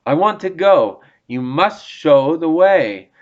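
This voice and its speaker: A man voicing an impatient small male hobbit who speaks briskly and insistently, in a dull lo-fi audiobook recording. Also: fairly high pitch, animated, pitched above the performer's own voice. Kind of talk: audiobook reading.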